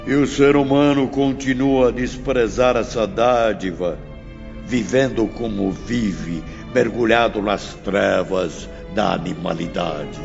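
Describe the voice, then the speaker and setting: A solemn spirit teacher lectures grandly in an audiobook drama, acted by a man. His creaky voice is slow and sweeping in pitch. It rings in a long hall reverb over faint music. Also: dull audio. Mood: reproachful. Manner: declamatory, grandiose.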